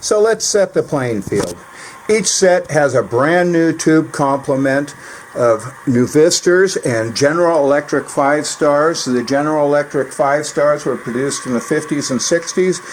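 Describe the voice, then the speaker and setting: A man's voice: on the high side, lively intonation, measured pace, rough recording. A man narrating a hands-on technical demonstration of radio equipment, as a hobbyist would. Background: CB radio receiver noise.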